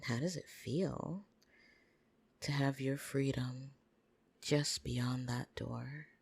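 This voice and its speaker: A voice actor playing a flirtatious, possessive upper-class vampire in an intimate ASMR roleplay, teasing and soft-spoken with breathy whispers. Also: medium pitch, steady tone, takes it slowly, full character voice. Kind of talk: ASMR roleplay, intimate second-person monologue.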